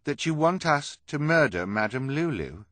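A man voicing an earnest, gullible and excitable male carnival freak in an audiobook. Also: on the high side, normal speed, dry recording, pitched above the performer's own voice. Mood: stunned. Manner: earnest, wide-eyed, excitable.

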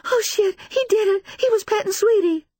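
Audiobook role: distraught mother, extremely high voice, halting, breathless and weepy, close dry recording. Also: animated, dry recording. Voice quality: tearful, wailing. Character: distraught, frightened, defensive grieving mother. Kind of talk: dramatised audiobook dialogue.

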